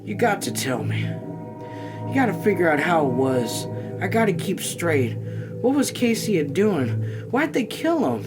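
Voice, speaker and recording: A weary, anxious country mother of middle age, acted by a male reader, in a raised voice. She pleads earnestly in plain rural dialect, as in a novel read aloud, with music clearly playing behind. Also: high voice, sing-song, unhurried, voice pushed up. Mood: anxious questioning.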